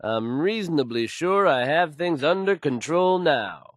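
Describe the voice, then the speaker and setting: A man's voice: on the high side, animated, unhurried, no room sound, studio quality. A man playing an assured, folksy radio DJ in a video game, delivering news bulletins to listeners with fluent, polished ease. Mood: reassuring.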